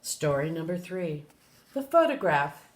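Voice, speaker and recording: An older woman reading a children's story aloud in an expressive way, with brief asides. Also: deep voice, measured pace, slight background noise. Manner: expressive, animated. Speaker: storybook reader. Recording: roomy echo.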